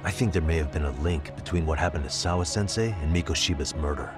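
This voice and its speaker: An actor playing a serious, pushy, determined detective in an English video game dub. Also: male voice, a bass voice, fast, over music. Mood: insistent. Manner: serious, pressing. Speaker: private detective character.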